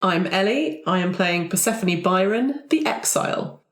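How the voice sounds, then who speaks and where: A woman's voice: on the low side, animated, moderate pace. A woman chatting casually as a player in a tabletop roleplaying game. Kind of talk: casual chat. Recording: roomy echo.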